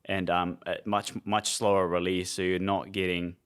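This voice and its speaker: A young man from Iraq co-hosts a relaxed podcast. His voice is on the low side, and he explains things in a conversational way, with plenty of fillers. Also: moderate pace, clean tone. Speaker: podcast co-host, nutrition coach. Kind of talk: casual podcast chat.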